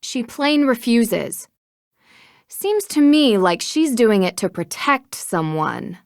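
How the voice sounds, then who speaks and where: A woman voicing an inquisitive young American detective in a video game, speaking in a measured, thoughtful way. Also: fairly high pitch, big pitch swings, creaky, dry recording, studio quality, full character voice. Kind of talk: video game dialogue acting.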